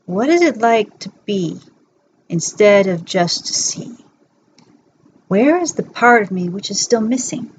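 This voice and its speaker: A woman's voice: mid-range voice, animated, measured pace. A woman narrating fan fiction as a bedtime story, reading from a script in an amateur recording. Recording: dull lo-fi home recording, faint noise.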